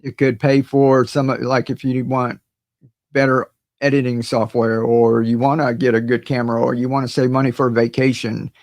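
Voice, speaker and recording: A hoarse man hosting a gardening channel, chatting casually and rambling to his viewers with many fillers and repeated words. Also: medium pitch, level tone, moderate pace, a little mellow, no echo. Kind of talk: casual livestream chat to viewers.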